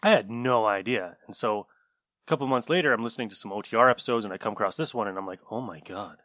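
A man's voice: lowish voice, fast talker, fairly bright tone, no echo, clean studio sound. A man hosting a podcast, talking casually and offhandedly to his listeners. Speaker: podcast host. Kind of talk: casual chat.

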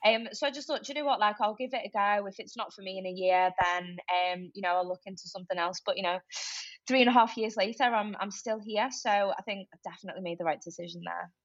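A woman's voice: medium pitch, fast talker, no room sound, lo-fi sound. A young woman with a Liverpool accent, a tech recruiter chatting as a guest in a casual interview, talkative with lots of fillers. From Liverpool, England. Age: young adult. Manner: chatty, lively, many fillers like "like" and "um".

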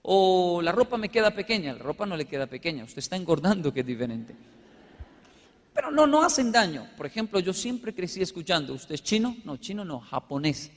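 A man from Colombia with a Colombian accent, giving a humorous storytelling talk as a motivational speaker, acting out little dialogues in an animated manner. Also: high voice, brisk, long reverb tail. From Colombia, with Japanese background. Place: large hall with live audience.

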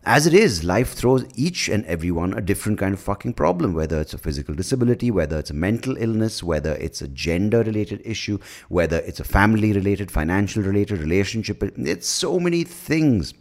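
Deep-voiced man in a profane, sarcastic rant. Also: very animated, brisk, few pauses. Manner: blunt, profane and sarcastic, with rambling asides; breaks into mocking shouts and laughs at his own jokes. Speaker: podcast host. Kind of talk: casual solo podcast monologue.

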